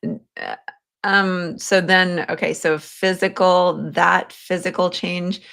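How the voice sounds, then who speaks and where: A woman with a notably low voice hosts a conversational interview. She speaks slowly and tentatively, often halting and rephrasing as she thinks aloud. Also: little pitch movement, creaky, fairly bright tone, smooth. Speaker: podcast host.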